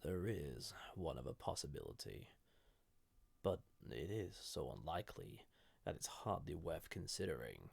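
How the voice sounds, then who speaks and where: A man voicing an ancient banished villain entity in an intimate audio roleplay, soft-spoken and formal, with a gravelly, husky voice that often drops to a whisper. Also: rumbling low, big pitch swings, no room sound, exaggerated voice. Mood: cautious, instructing. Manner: slow, halting, gently condescending, formal. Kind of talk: intimate scripted audio roleplay addressed to listener.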